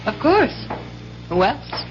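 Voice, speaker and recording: An actress playing a cool, defiant, discontented wife, pointed and sarcastic, in a 1970s radio drama. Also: female voice, on the high side, very animated, slow. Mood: defiant, cutting. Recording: dull 1970s radio recording, faint music.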